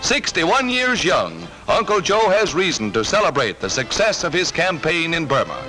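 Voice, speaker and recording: High, lively 1940s newsreel announcer man. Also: moderate pace, poor audio. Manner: punchy, emphatic wartime delivery. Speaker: newsreel narrator. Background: loud orchestral music.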